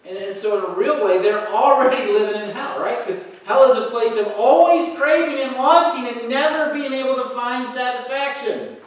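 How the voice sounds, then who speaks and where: A man's voice: very high-pitched, moderate pace, few pauses. Emphatic man preaching in an echoey hall. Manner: emphatic, conversational, with frequent "right?" checks. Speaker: pastor. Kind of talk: sermon. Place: church hall with pulpit. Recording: very echoey hall, heard from a distance.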